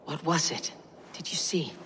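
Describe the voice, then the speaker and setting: A woman's voice: low voice, animated, measured pace, fairly bright tone, slight background noise. A woman playing a stern, stoic female Viking warrior in a video game. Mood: grave, resolute. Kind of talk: dramatic video game acting.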